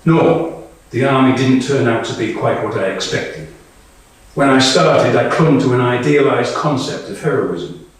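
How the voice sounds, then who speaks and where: A man performing a dramatic monologue as a candid, war-weary woman soldier, with deliberate, emphatic phrasing and pauses. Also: medium pitch, close to an everyday voice. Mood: reflective. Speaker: actor reading a monologue. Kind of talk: live dramatic monologue reading. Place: small live reading indoors. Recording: echoey room, distant microphone.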